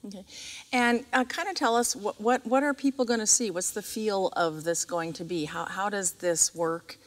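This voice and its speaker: A woman's voice: mid-range voice, normal speed. A middle-aged American woman asking questions in a conversational interview. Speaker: interviewer, school community relations coordinator. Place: seated on the stage of a theatre auditorium. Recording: reverberant empty auditorium.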